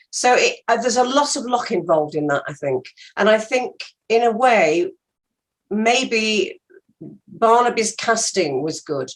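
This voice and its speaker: A woman's voice: mid-range voice, poor audio. An older woman, an actor chatting casually and reflectively in an interview.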